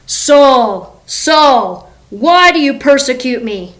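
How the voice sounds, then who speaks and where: A woman voicing a commanding, solemn God in a Bible story read aloud for children, loud and emphatic in her delivery. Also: sits high, expressive, extremely slow, exaggerated voice, low-quality recording. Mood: commanding, booming.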